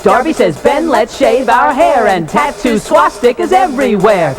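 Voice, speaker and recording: A woman's voice: fairly high pitch, lively intonation, normal speed, music in the background, natural voice. A woman playing a cheery toy commercial narrator, reciting rhymes in a parody advert. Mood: cheerily sing-song.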